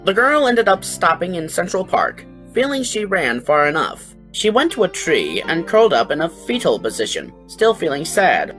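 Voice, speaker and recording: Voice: medium pitch, wildly swooping pitch, moderate pace, few pauses, a bit bright, faint music behind. A narrator reading a scripted fan fiction story.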